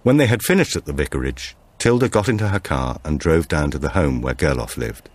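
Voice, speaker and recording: Deep, husky male audiobook narrator. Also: animated, a little fast, dry recording. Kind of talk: scripted narration of a suspense thriller.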